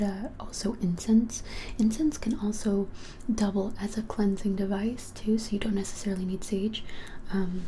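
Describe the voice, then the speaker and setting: Casting: woman, 20s, breathy ASMR whisper, gentle hesitant shopkeeper roleplay, faint room hiss. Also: mid-range voice. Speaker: ASMR creator playing a witch shop keeper. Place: small plain room indoors.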